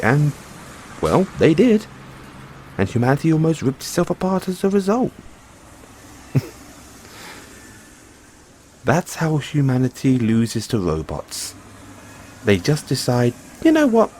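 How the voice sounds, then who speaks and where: A man playing an excitable, affectionate mad scientist boyfriend in an intimate audio roleplay, musing haltingly to himself and his partner. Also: on the high side, a little hiss or hum. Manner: musing, halting, chuckling asides, drawn-out reactions.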